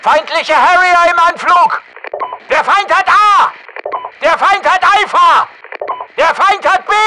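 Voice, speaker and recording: A soldier on a noisy radio, calling out warnings for a military video game. The voice is pitched very high for a man, with measured, slow delivery. Each report is clipped and alert.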